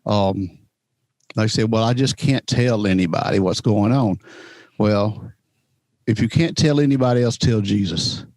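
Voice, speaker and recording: An older man with a low voice speaks plainly and earnestly as a talk show guest. The sound is dry and close. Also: measured pace, darkish tone, dry recording.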